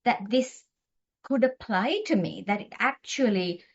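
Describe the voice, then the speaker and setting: A woman's voice: a little high, animated, measured pace, lo-fi sound. A middle-aged woman doctor from Sri Lanka, a guest talking earnestly with frequent restarts. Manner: earnest, talks with many restarts. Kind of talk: podcast interview.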